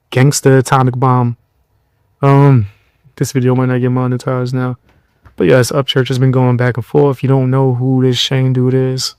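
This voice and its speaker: A tired young man hosting a podcast, giving blunt, mocking commentary in loose slang with a low voice. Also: darkish tone, clean tone, dry recording, clean studio sound. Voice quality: lower voice. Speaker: podcast host. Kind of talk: casual commentary.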